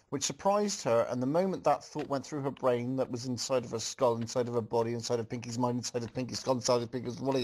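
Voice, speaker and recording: A man's voice: mid-range voice, a little mellow. Amused man reading a story aloud. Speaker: reader and host of a group fanfiction reading.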